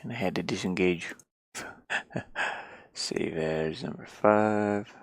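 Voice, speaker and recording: A game developer chatting casually and haltingly while working at a computer, murmuring half to themselves. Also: low-pitched, steady tone, no room sound, studio quality. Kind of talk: casual screen-share chat while working. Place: inside a van.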